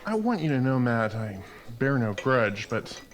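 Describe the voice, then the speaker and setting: A man playing a fatalistic veteran gunfighter in a radio western, menacingly calm, deliberate and brooding. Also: medium pitch. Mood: grave, resigned. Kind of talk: old-time radio western acting.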